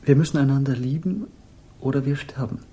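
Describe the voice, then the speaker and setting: An actor playing an aloof, dreamy hippie guru in a radio crime drama, speaking in cryptic, solemn pronouncements. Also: male voice, mid-range voice, mellow, close to an everyday voice. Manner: slow, cryptic, repetitive pronouncements. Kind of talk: 1960s German radio crime drama acting.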